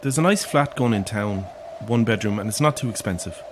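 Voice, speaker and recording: A man's voice: lowish voice, expressive, a little fast, a little hiss or hum, close to an everyday voice. An actor playing a lonely, weary Irish man in his thirties in a naturalistic audio horror drama, polite, hesitant and dry in manner. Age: 30s.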